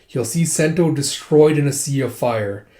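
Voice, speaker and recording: A dragon warrior envoy voiced by a male storyteller, calm and stern. He speaks in measured, formal phrases with a mellow tone. The sound is roomy and echoing. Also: on the high side, close to an everyday voice. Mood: stern warning.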